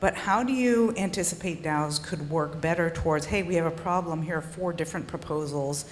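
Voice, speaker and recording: A woman's voice: deep voice, fairly quick, a little hiss or hum. A woman in the audience asking a casual, direct question. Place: large conference hall. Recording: hall reverb with faint ambience.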